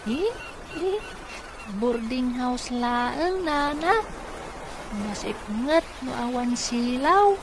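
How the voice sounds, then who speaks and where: An actor playing a lively, polite and curious young boy in an Ilocano radio comedy-drama, with a childlike, chatty, eager way of talking. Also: sits high, very slow, long pauses, background noise, exaggerated voice. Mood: chatty, cheerful.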